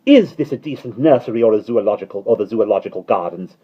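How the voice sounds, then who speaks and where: A man voicing a stern, snappish nanny woman in a storybook, with a sharp, commanding manner. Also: medium pitch, expressive, quick pace, slightly rough, lo-fi sound, pitched above the performer's own voice. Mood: angry.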